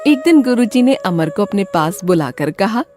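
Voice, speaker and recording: A woman's voice: mid-range voice, big pitch swings, normal speed, darkish tone, faint music behind. A woman narrating a scripted children's moral story as the storyteller.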